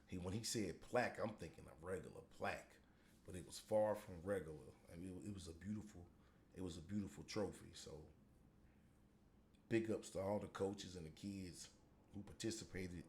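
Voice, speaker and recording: A man with a deep voice hosting a casual solo podcast as a middle school football coach, talking in a relaxed, rambling way with many fillers. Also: slight background noise.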